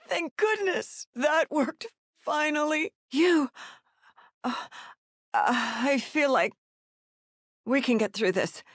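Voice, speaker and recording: A woman's voice: high voice, a bit bright, dry recording, clean studio sound, full character voice. A woman playing a frightened, desperate mother in a survival horror video game, with pleading, gasping delivery. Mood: relieved. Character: desperate, frightened mother searching for her missing son.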